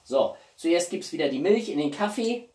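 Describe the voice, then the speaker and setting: A man's voice: a little high, animated, normal speed, a little mellow. A man, chatting casually and expressively to camera as a video host at home. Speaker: YouTube host. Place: small living room at home, indoors. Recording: roomy echo, distant microphone.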